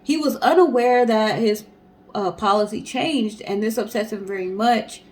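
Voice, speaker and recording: A woman's voice: medium pitch, moderate pace, darkish tone. A woman co-hosting a casual storytelling show in a conversational, explanatory way. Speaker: co-host.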